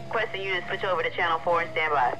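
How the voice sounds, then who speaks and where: A man's voice: a little high, steady tone, racing, music in the background, poor audio. An actor playing an American male police dispatcher in a video game, clipped and procedural, through a radio filter. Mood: businesslike. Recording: police radio sound over loud engine noise.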